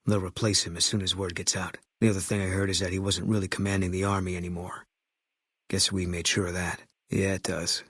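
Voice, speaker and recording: An actor playing a terse, guilt-haunted ex-army sniper in a video game, deadpan and clipped, with a low husky voice. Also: male voice, brisk, dry recording, clean studio sound. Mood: flat, matter-of-fact. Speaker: video game companion character.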